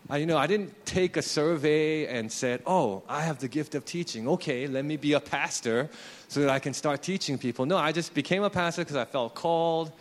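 A man's voice: fairly high pitch, brisk. A man in his late thirties, a pastor, preaching a sermon in a conversational, self-deprecating way. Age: 30s.

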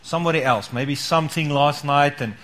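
A man's voice: on the high side, fairly quick, a bit bright. A man leading a church gathering, inviting testimonies and encouraging people with repeated words of praise. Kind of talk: casual church meeting talk.

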